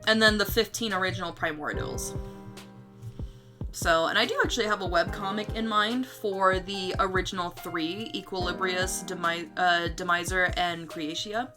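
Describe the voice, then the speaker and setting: A woman's voice: on the low side, steady tone, moderate pace, music in the background. A woman chatting casually as a livestream host, with a giggly, rambling manner and some casual swearing. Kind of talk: casual livestream chat about own story notes.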